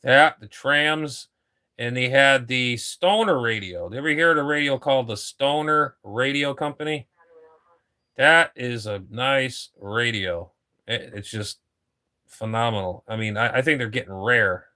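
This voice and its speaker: Give me a man in his fifties chatting over ham radio, rambling, with a bright, forceful tone. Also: medium pitch, measured pace. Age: 50s. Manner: rambling, swears casually, repeats words. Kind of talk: casual radio chat.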